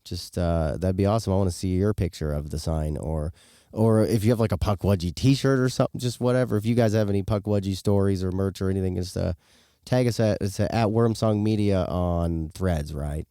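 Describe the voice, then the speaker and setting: An American man in his thirties chatting casually as a podcast co-host, rambling in a relaxed, slangy way. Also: low-pitched, brisk, no echo, clean studio sound. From western United States. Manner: laid-back, rambling, slangy. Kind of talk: casual chat.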